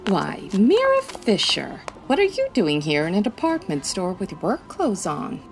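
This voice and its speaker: A woman's voice: mid-range voice, wildly swooping pitch, measured pace, faint music behind. A woman playing a classy, wry female private eye in a 1940s radio detective style, breezy and full of quips. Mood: friendly, teasing.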